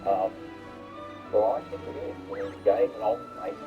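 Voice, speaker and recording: Low-pitched man arguing in muffled audio. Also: a little fast. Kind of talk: casual, argumentative talk. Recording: dull, muffled sound with music.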